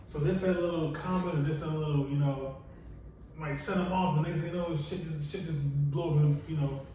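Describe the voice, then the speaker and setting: A man's voice: on the high side, fairly even intonation, moderate pace, warm tone. A man on a podcast who talks bluntly and profanely, leaning on "you know what I'm saying" between thoughts. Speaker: podcast co-host. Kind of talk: casual podcast chat. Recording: echoey room, distant mic, faint noise.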